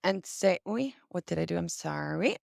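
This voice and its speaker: A woman's voice: on the low side, lively intonation, normal speed, gravelly, a little mellow, dry recording, clean studio sound. A woman guest chatting casually on a video call, walking through a casting website and acting out an example search as she goes. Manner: chatty, acts out scenarios as she explains. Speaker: guest demonstrating a casting database. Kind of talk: casual explanatory chat.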